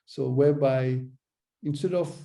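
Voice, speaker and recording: A man hosting a podcast in a casual interview, with a gravelly, creaky edge to his voice. His tone is very dark and mellow, and he stutters openly, repeating sounds as he speaks. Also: medium pitch, measured pace. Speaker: podcast host.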